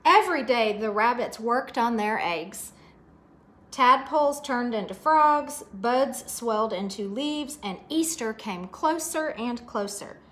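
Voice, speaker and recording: A woman storyteller reads aloud for small children in a fairly high voice that swings widely in pitch. She takes her time, speaking expressively and often turning to her young listeners as she goes. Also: takes it slowly. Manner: expressive, dramatic gasps and questions to listeners. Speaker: storyteller reading a picture book to children.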